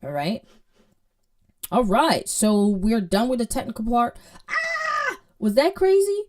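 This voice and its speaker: A woman's voice: on the high side, very animated, takes it slowly. A woman from Jamaica with a Jamaican accent, teaching a coding lesson in a playful, chatty way and often checking in with her listeners. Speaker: coding tutor. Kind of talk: casual screen-share tutorial.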